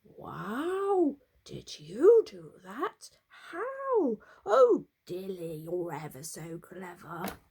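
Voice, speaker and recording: A woman voicing a kindly but blunt man who owns a donkey in a storybook, speaking gently and a little patronisingly. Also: mid-range voice, animated, extremely slow, creaky, husky, heavily acted. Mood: gentle.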